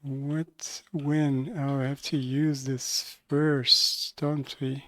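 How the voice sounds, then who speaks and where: A man in his thirties doing casual, low-key live commentary on a game, thinking aloud as he plays. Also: little pitch movement, extremely slow, fairly bright tone. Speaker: streamer and game developer.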